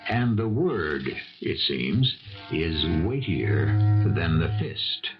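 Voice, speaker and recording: A man narrating as the host of an old radio mystery series, theatrical, wry and portentous, weighing each phrase deliberately. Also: low voice, very animated. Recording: muffled old radio broadcast. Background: music.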